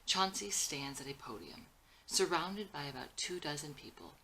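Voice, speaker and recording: Low, bright-voiced woman reading a screenplay. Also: expressive, normal speed, slight background noise, poor audio. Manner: reads scene headings and stage directions. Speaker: screenwriter reading own script. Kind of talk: scripted screenplay narration.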